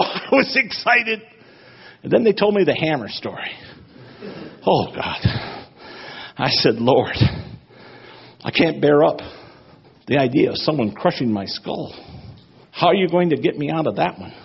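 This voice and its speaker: A man preaching his testimony, swinging between hushed, grave passages and excited bursts. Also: sits high, gravelly, breathy edge. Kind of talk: sermon testimony. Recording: dull lo-fi recording.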